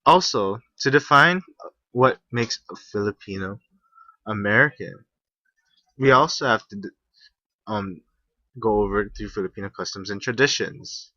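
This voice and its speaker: A young man from Hawaii reading a class presentation, halting at times with restarts. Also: lowish voice, pushed and bright, no room sound. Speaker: student presenter. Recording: muffled, slightly lo-fi.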